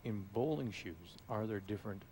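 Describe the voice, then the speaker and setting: A man's voice: on the low side, animated, moderate pace, darkish tone. A man giving conversational, inquisitive live play-by-play commentary on a bowling match. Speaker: sports play-by-play announcer. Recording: live crowd noise behind. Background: audience and hall noise.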